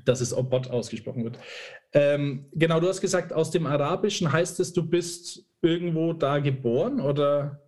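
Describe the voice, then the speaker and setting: A man's voice: on the high side, moderate pace, a little mellow. A man hosting a casual conversational interview in an informal, jokey, somewhat hesitant manner. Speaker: podcast host, interviewer.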